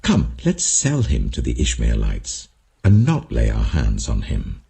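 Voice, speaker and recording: Jealous, scheming shepherd brother, voiced by a male reader, deep, mellow-dark tone, dramatic scripture audiobook. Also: huge pitch swings, unhurried, creaky, heavily acted. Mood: persuading. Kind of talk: dramatic Bible audiobook character reading.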